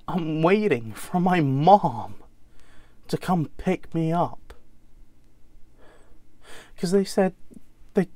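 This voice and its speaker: A man acting a bitter, wounded American son confronting his mother in a dramatic monologue, halting and accusing, with an American accent. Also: mid-range voice, a little mellow, no echo, close to an everyday voice.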